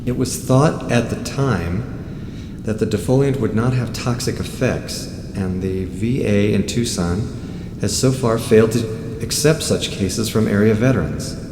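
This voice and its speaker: A man's voice: lowish voice, a little slow. An actor playing a nosy, down-on-his-luck motorcyclist in an audio drama, muttering his thoughts in a folksy way. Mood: curious, puzzled. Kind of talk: audio drama acting with spoken inner monologue. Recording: reverberant hollow space with clear background noise.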